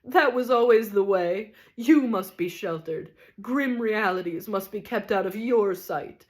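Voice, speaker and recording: A woman's voice: medium pitch, measured pace, close to an everyday voice. A woman voicing a pompous, self-pitying male ghost who acts like a stage tragedian, declaiming bitterly.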